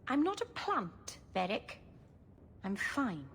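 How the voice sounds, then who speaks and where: A woman playing a gentle, lonely young elf in a video game, wistful and self-deprecating. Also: fairly high pitch, sing-song, takes it slowly, exaggerated voice. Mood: defensive. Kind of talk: video game cutscene acting. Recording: faint background noise.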